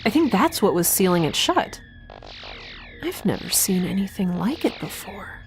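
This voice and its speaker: A quirky, worn-out young woman scientist played by an actress in a sci-fi audio drama. Her mid-pitched voice runs on conversationally and breaks into its own sentences, over faint music. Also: sing-song, moderate pace. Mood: focused, excited. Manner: chatty, rambling, self-interrupting, exclamatory. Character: quirky, sleep-deprived young woman scientist stranded in space.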